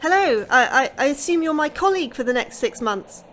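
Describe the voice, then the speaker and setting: An actress playing an anxious, earnest astrobiologist in an audio drama, speaking in a polite, hesitant and questioning way. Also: female voice, sits high, expressive, fairly quick, fairly bright tone, slight background noise. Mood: nervous. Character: anxious, earnest woman astrobiologist, newly arrived. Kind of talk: audio fiction acting.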